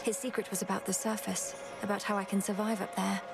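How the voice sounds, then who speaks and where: A woman's voice: mid-range voice, flat delivery, quick pace, gravelly, heavy background noise, close to an everyday voice. A woman voicing an earnest, determined young princess goddess in a video game, courteous, sincere and articulate.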